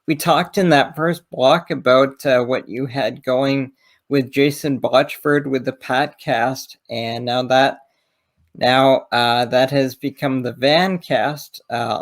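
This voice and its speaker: A man hosting a sports interview, conversational and halting as he feels his way through his sentences. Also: medium pitch.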